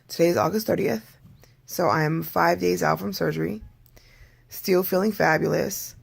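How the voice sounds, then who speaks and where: An adult vlogger with a hoarse voice, talking in a rambling, candid video diary and clearing their throat often. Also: mid-range voice.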